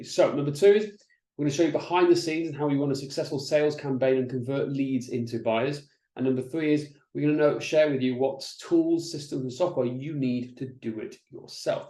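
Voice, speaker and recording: Man, middle-aged, business coach, mellow voice, chatty webinar delivery, roomy echo. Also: medium pitch. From Wales. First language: Welsh. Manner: energetic, chatty, with frequent restarts and "right?" checks. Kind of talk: presenting slides in an online masterclass.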